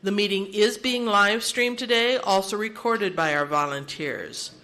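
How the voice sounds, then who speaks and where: A woman's voice: mid-range voice, a little slow, sharp tone. An older woman who chairs and emcees a seniors' meeting in a large room. She speaks into a handheld microphone, giving orderly announcements with light humor and leading a prayer. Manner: orderly and practical, with light self-deprecating humor.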